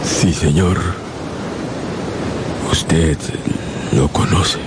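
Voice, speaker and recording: An actor playing a grave fisherman in a radio drama, halting and solemn, with heavy pauses. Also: very deep voice, steady tone, full character voice. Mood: grave, hesitant. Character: grave fisherman bearing bad news. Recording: loud surf and storm noise.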